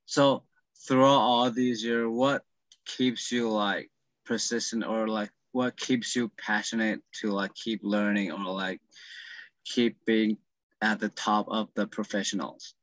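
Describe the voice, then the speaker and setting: A man's voice: lowish voice, level tone, unhurried, fairly bright tone, dry recording. A young man from Taiwan, a Mandarin speaker, hosting an interview in English, hesitant and apologetic with lots of "like". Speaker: interview host. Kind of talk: casual interview over a call. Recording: dull lo-fi call audio.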